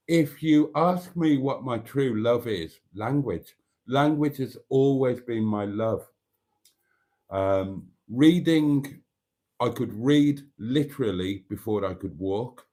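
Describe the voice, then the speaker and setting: A man's voice: medium pitch, animated, takes it slowly, a little mellow. A Welsh man, a native Welsh speaker, hosting a live stream and musing in a meandering way, with many fillers and restarts. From Wales. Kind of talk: casual live stream chat.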